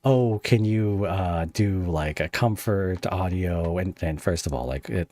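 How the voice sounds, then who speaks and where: A low-voiced man hosting a relaxed podcast chat and ribbing his guest with playful sarcasm. The recording is close and dry, with no room around him. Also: measured pace, dry recording. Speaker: podcast host. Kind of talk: casual interview chat.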